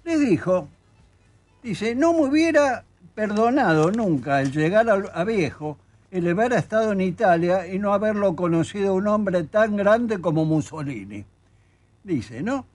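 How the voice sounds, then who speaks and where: An older man with an Argentine accent speaks as an interviewed author. His voice sits high and swings widely as he presses his points with indignation. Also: expressive, a little slow, darkish tone. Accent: Argentine Spanish. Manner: emphatic, indignant, reads quotes aloud. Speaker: author guest. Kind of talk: studio interview conversation.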